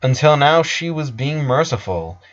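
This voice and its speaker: A man's voice: on the high side, expressive, normal speed. A man narrating a story in a dramatic reading style. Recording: dull lo-fi sound.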